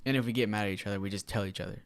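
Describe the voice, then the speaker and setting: A man's voice: on the low side, fairly even intonation, rapid-fire, a little hiss or hum. A young man, a native Spanish speaker, co-hosting a podcast in informal, slangy, casual conversation. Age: young adult. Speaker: podcast co-host. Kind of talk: casual chat.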